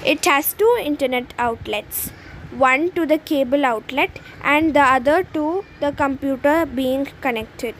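A woman's voice: high-pitched, measured pace, a little hiss or hum, poor audio. A woman presenting a school textbook lesson by reading it aloud.

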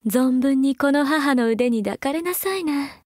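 High-voiced, doting, seductive mother figure. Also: unhurried, darkish tone, no echo, studio quality. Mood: doting, coaxing. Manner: coaxing, breathy-whispering at times, archaic feminine phrasing. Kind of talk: Japanese mobile game character voice lines.

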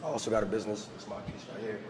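A man's voice: fairly low pitch, racing, crowd noise. A man in his twenties, groggy from a nap, introducing himself casually. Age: 20s. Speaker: contestant, college athlete.

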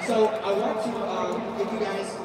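A high-voiced young man with a voice worn hoarse from shouting runs a stadium event over the PA. He rambles through instructions, full of fillers and restarts. The sound bounces around a huge arena, caught from far away over a roaring crowd. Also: steady tone, measured pace, echoey room. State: hoarse from yelling. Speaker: event host. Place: indoor football stadium, on a stage. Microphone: handheld mic over PA, recorded from far off. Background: loud crowd and stadium noise.

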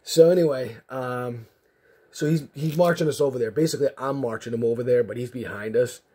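Off-camera male host, husky low-toned voice, softened Massachusetts accent, rambling and jokey. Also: fairly quick. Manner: rambling, chatty, jokey asides. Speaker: card collecting channel host. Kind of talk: casual chat while sorting cards. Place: indoor room with card shelves.

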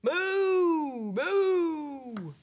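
A man voicing a cheerful wandering dairy cow with high drawn-out moos for a children's storybook. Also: very animated, full character voice. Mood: mooing.

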